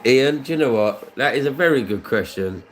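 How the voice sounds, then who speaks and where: A British man with a deep voice, casually chatting on his scratch card live stream, thanking viewers by name and rambling through asides. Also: animated, moderate pace, faint music behind.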